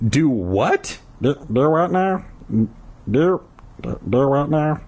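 A confused young storybook boy played by a grown man, slightly high and husky. He protests and stammers his way through apologies, his pitch jumping around. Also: expressive, takes it slowly, a little mellow, full character voice. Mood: baffled.

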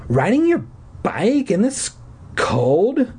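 Guy doing a sick mean teen girl from a storybook, shrill n hoarse, swooping way up high. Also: huge pitch swings, slow, a little mellow, exaggerated voice. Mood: cold, suspicious. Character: sick, spiteful teenage girl accusing her friend.

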